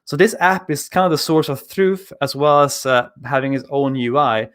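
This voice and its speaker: Man, 30s, relaxed remote presenter, lots of ums, studio mic at home. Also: mid-range voice, moderate pace, clear, resonant tone. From Sweden. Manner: relaxed, conversational, frequent filler sounds. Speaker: conference speaker. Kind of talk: technical talk over a video call. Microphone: studio mic on arm, video call.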